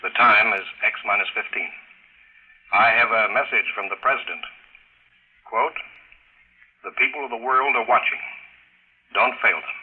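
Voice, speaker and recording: A man's voice: on the low side, moderate pace, long pauses, slightly rough. A man playing a solemn launch controller over a radio intercom in a 1950s drama, with grave, declamatory announcing. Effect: radio intercom filter. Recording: phone-like filtered vintage radio.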